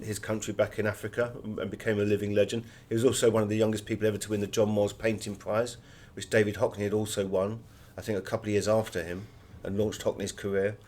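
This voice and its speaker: A man's voice: on the low side, darkish tone. A man speaking as a guest author in an interview, explaining things in a discursive, anecdotal way. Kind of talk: conversational interview, telling anecdotes.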